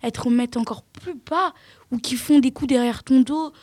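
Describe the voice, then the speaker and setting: Blunt teen girl, higher voice, dry booth sound. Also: a little fast, no echo. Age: teens. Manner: blunt, outspoken, trailing off quietly. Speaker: teen guest. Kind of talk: casual discussion.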